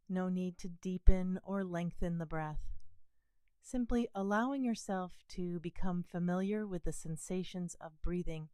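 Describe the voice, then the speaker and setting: Female meditation guide, slightly low and mellow, gentle and soothing, unhurried pauses between phrases. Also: no echo. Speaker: meditation teacher. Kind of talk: guided body scan meditation.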